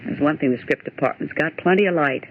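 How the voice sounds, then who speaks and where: A woman's voice: low-pitched, quick pace, close to an everyday voice. An actress playing a bossy, skeptical but rattled young woman office worker in 1940s radio horror style, scolding and theatrical. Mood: exasperated scolding. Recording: old radio recording, narrow tinny band, hiss.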